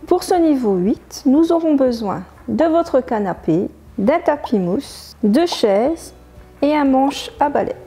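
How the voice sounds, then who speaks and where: A woman in her thirties, a gym coach, giving clear step-by-step exercise instructions in a living room. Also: high-pitched, animated, takes it slowly, dark tone, faint music behind.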